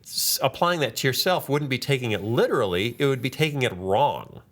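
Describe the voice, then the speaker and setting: A man's voice: medium pitch, expressive, fairly quick, fairly bright tone. A middle-aged man from Iowa hosting a podcast, joking around in a casual chat. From Iowa, United States. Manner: jokey, breaks into impressions and sung bits. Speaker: podcast host.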